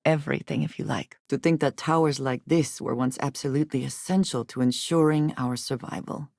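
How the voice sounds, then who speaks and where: An actress voicing a guarded, earnest young woman space explorer in a video game, speaking in formal, measured phrases with few contractions. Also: a bass voice, fairly even intonation, vocal fry, a little mellow, no room sound, clean studio sound, full character voice. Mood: measured, curious.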